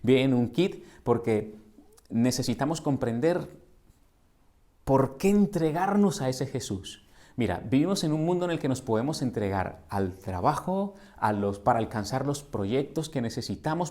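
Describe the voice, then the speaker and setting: A man's voice: medium pitch, mellow. A middle-aged man from Colombia with a Colombian Spanish accent, preaching a sermon in a church in an earnest, questioning way that builds to emphatic repetitions. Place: church sanctuary.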